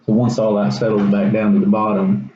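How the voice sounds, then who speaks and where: A man's voice: lowish voice, completely flat delivery, brisk, mellow. A young man with a Texas accent gives an informal science demonstration as a student presenter, explaining casually and a bit hesitantly. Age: young adult. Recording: roomy echo, dull sound, faint noise.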